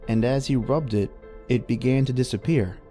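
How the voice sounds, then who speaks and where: A man acts out a plain-spoken middle-aged roughneck in an old-time radio horror play. He talks in folksy slang at a medium pitch and hesitates as he recalls dark events. Music runs underneath. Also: normal speed, natural voice. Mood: haunted storytelling.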